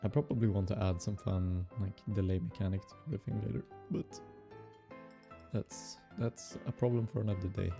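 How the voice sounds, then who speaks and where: Man, Swedish accent, low voice, unhurried, musing with fillers, faint background music. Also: fairly even intonation, creaky. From Sweden. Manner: musing aloud, halting with fillers and soft laughs. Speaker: game developer streaming his hobby project. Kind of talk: casual live-coding stream chatter.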